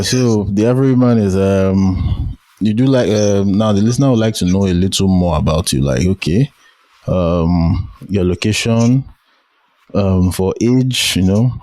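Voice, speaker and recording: A Nigerian-accented man hosts a casual interview podcast. His voice sits very low, and the recording is close and dry, with little room sound. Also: measured pace, dark tone, dry recording. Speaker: podcast host.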